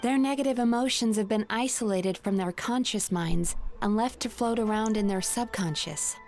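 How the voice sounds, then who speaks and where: A woman acts out an earnest young female counselor from a video game. Her delivery is composed, articulate and clinical, in a mid-range pitch. Game music plays behind the voice. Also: moderate pace, a little mellow, lightly acted. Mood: calm, explanatory. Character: earnest, perceptive young woman counselor who reads dreams. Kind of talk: scripted video game voice acting.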